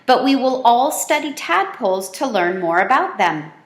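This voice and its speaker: A woman voicing a patient, encouraging female schoolteacher in a storybook, measured and instructive. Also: on the high side, sing-song, echoey room, pitched above the performer's own voice. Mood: agreeable.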